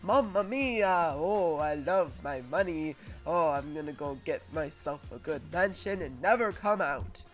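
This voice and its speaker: A woman voicing an excitable, money-loving cartoon plumber, a grown man, with a wavering Italian accent and gushing exclamations, in a game parody. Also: low voice, unhurried, hardly any pauses, faint music behind. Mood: greedy, content. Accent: put-on Italian, wavering. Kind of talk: improvised video game parody.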